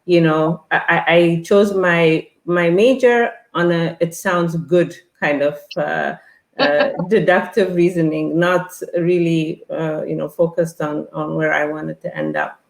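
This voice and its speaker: Woman, fifties, Ethiopian-born guest, deep voice, halting delivery, roomy echo. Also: fairly even intonation, creaky. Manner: halting, many fillers and restarts. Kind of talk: casual interview talk.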